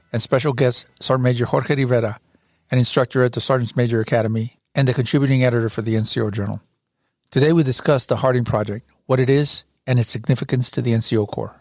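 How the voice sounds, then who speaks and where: Measured podcast host, dry close sound. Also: male voice, mid-range voice, fairly even intonation, darkish tone, no echo. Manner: measured, with some restarts when unscripted. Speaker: podcast host, managing editor. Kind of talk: scripted intro, then interview questions.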